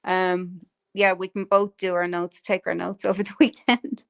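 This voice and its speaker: A woman's voice: fairly low pitch, little pitch movement, normal speed, gravelly. Chatty woman hosting a live stream. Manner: chatty, lots of fillers and laughs. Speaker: livestream host. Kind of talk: casual chat on a live stream.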